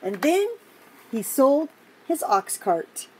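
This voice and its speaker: An older woman reading a picture book aloud to children, pausing for simple, encouraging chatty asides about the pictures. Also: sits high, big pitch swings, husky, low-quality recording. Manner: encouraging, explains pictures simply to young listeners.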